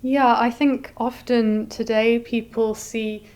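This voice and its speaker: A woman's voice: fairly high pitch, flat delivery, takes it slowly, a little mellow. A woman giving articulate, explanatory answers as a curator being interviewed. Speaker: exhibition curator, interview guest.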